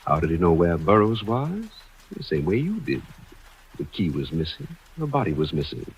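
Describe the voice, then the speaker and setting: Radio thriller villain: very low, husky, coolly threatening, commanding, on a dull vintage recording. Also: male voice, very animated, normal speed, exaggerated voice. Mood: smug menace. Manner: smooth-talking, commanding, threatening. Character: cold, menacing male murderer holding a woman at gunpoint. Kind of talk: 1950s radio thriller acting.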